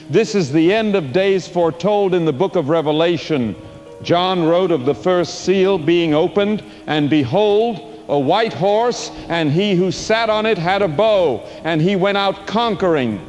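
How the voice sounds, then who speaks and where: A man's voice: on the high side, dull audio. Man acting a doomsday preacher in cavernous reverb. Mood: solemn, foreboding. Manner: solemn, portentous, oratorical. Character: apocalyptic preacher, a grave male survivor of a plague. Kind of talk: dramatic radio sermon in an audio drama. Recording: long cavernous reverb with music underneath.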